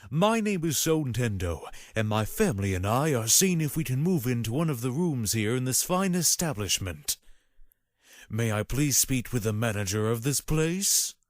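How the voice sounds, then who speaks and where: A courtly middle-aged father, acted by a man in an audio play. He is very polite with animated intonation, recorded close and dry. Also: mid-range voice, moderate pace, dry recording, close to an everyday voice. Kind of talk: fan audio drama acting.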